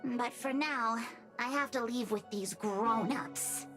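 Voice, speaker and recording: A wistful childlike spirit. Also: female voice, a little high, takes it slowly. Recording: voice over game music.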